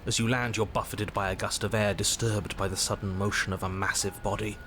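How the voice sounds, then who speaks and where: An actor playing a grave, dramatic storybook narrator in a video game, telling a tense scene in the second person. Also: male voice, lowish voice, level tone, background noise, close to an everyday voice. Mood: ominous. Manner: theatrical, deliberate, dramatic. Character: grave male storybook narrator and urgent inner voices. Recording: music behind the voice.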